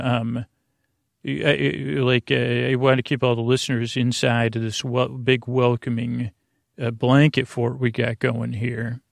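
A man with a gravelly voice hosting a sleep podcast in a meandering, halting monologue, often interrupting himself and drifting off on tangents. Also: mid-range voice, no room sound, clean studio sound. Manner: meandering, halting, digressive, trailing off and self-interrupting. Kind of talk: rambling bedtime podcast monologue.

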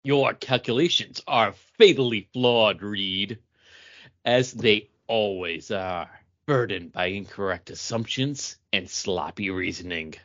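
A grandiose, disdainful supervillain from a comic book, as a man acts him out. He declaims pompously in a forceful, sharp tone. The audio is a little muffled and lo-fi. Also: lowish voice, takes it slowly. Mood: scornful, imperious. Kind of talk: dramatic comic book reading.

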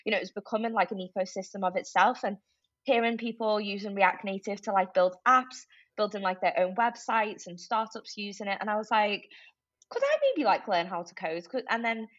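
A woman's voice: mid-range voice, brisk, no echo. Casting: young Liverpudlian woman, gabby and upbeat guest, dry close sound, slightly dull lo-fi audio. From Liverpool, England. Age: young adult. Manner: chatty, lively, many fillers like "like" and "um". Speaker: tech recruiter, podcast guest. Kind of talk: casual interview chat.

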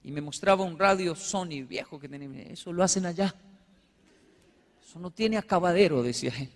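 A man from Colombia with a Colombian accent, giving a humorous storytelling talk as a motivational speaker, acting out little dialogues in an animated manner. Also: high voice, a little fast, big-room sound. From Colombia, with Japanese background. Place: large hall with live audience.

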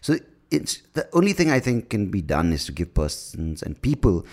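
A man's voice: low-pitched, big pitch swings, moderate pace. A man hosting his own podcast, talking alone in a blunt, profane, sarcastic way, rambling through asides and jokes. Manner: breaks into mocking shouts and laughs at his own jokes. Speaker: podcast host. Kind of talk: casual solo podcast monologue.

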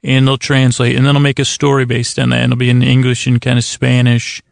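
A male podcast host with a creaky, mid-pitched voice talks in a casual bedtime ramble. His intonation stays fairly flat as he wanders, stammers and circles back on his own phrases. He is self-deprecating, and the sound is close and dry. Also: no room sound. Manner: meandering, halting, stammering and repeating himself.

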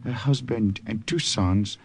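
Sly village priest. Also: male voice, on the low side, unhurried, creaky, husky, exaggerated voice. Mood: persuasive. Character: wily middle-aged male French village priest. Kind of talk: 1940s radio acting. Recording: old radio broadcast with faint hiss.

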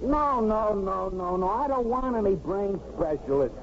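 Impatient cop boss barking orders, old radio drama, lively pitch, bit of hiss. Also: male voice, unhurried. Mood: brusque, irritated. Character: gruff, impatient male police deputy chief barking orders. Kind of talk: 1950s radio drama acting. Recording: muffled, hissy 1950s radio recording.

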